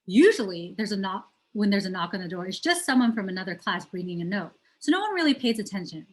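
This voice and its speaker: A woman's voice: mid-range voice, sing-song, fast. A woman reads a children's novel aloud as a storyteller, putting emphasis on key words. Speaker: teacher reading aloud.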